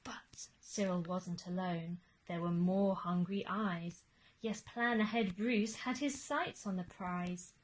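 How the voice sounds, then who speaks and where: Woman reading a children's story, unhurried. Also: fairly low pitch, slight background noise, poor audio. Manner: measured, expressive, dramatic emphasis. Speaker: teacher reading a picture book aloud. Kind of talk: expressive children's storytime narration of rhyming verse.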